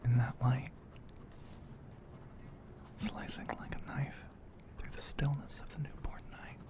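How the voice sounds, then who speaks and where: A man narrating an ASMR story in a breathy, hushed whisper, poetic and full of pauses, close to the microphone. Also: mid-range voice, steady tone. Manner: slow, hushed, poetic delivery with frequent pauses.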